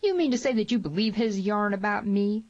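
A woman's voice: mid-range voice, fairly quick, darkish tone, no echo, lo-fi sound, pitched above the performer's own voice, lightly acted. A woman voicing a menacing, hot-tempered male gunman in a western audiobook. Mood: angry.

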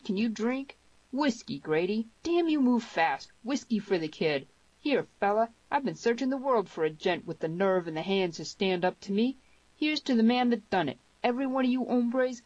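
Woman voicing a blustering frontier brawler, raised pitch. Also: a little mellow, dry recording, lo-fi sound, lightly acted. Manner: blustering, swearing, emphatic. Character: huge, loud, rough frontier brawler man, fierce but fair. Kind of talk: dramatised audiobook dialogue in Western dialect.

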